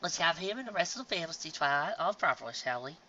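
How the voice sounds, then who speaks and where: A calm pony mother, as a reader puts her on, soft and soothing as she settles an overexcited daughter. The tone is bright, with a slightly dull quality behind it. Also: medium pitch, quick pace, slight background noise, voice pushed up. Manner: soothing, gentle. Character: calm, motherly middle-aged female pony.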